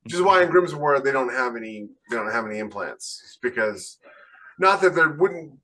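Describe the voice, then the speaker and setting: A man's voice: mid-range voice, animated, moderate pace, sharp tone, low-quality recording. A man talking casually on a podcast, explaining his point of view in an emphatic, conversational way. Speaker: podcast panelist and author.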